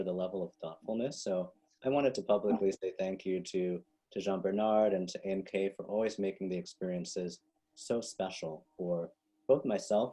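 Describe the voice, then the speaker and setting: Man, very low voice, earnest webinar host, video call. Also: warm tone, husky, rough recording. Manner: grateful, earnest. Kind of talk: webinar hosting.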